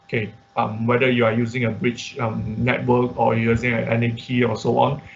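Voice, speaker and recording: Male online workshop instructor, informal and halting, frequent check-in tags, middling pitch, dull lo-fi class audio. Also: monotone, creaky. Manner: halting, repeats words, frequent checking tags like "okay?" and "right?". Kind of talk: informal live tutorial with screen sharing.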